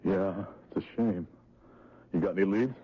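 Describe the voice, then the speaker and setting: A man's voice: sits low, moderate pace, slight background noise, natural voice. An actor playing an anguished, insecure, lonely man in a 1970s radio drama, earnest and pleading. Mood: subdued. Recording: tinny narrowband vintage radio recording.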